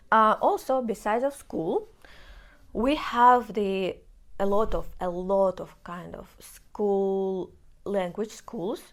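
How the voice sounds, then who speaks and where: Chatty Russian-accented young woman. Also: medium pitch. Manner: chatty, explanatory, with frequent restarts. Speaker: vlogger. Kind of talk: casual personal talk to camera.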